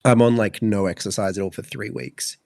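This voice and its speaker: Aussie guy in his 20s, stuffy fluey voice, lowish pitch, dry close mic. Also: steady tone, brisk, darkish tone, no echo. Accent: Australian. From Australia. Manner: rambling, many fillers and restarts. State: congested, sounds fluey. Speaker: podcast co-host. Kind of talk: casual chat.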